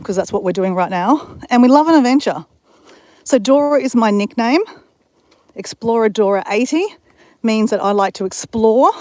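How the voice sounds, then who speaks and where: A woman's voice: medium pitch, a little mellow, no room sound. Aussie lady vlogging on a walk, chatty and rambly, lots of ums. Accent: Australian. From Australia. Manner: chatty, rambling with fillers and restarts. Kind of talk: casual walking vlog chat. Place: outdoors on a walk.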